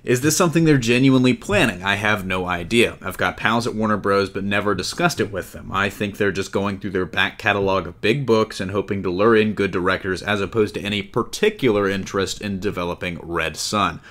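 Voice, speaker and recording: A man with a fairly low voice hosts a casual podcast. He talks through entertainment news in a chatty, enthusiastic fan's manner, steering the discussion. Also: brisk, hardly any pauses, fairly bright tone. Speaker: podcast host.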